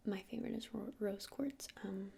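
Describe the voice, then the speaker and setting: A woman playing a gentle crystal-shop witch in an ASMR roleplay, whispering breathily and explaining things unhurriedly with hesitant pauses. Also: medium pitch, exaggerated voice. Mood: soothing, earnest. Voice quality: breathy whisper with creaky edges.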